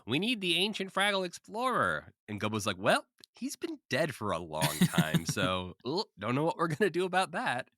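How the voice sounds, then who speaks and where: A man's voice: fairly high pitch, wildly swooping pitch, moderate pace, few pauses, sharp tone, no room sound, studio quality. A man co-hosting a podcast, casually recapping and commenting with a wry, joking manner. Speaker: podcast co-host.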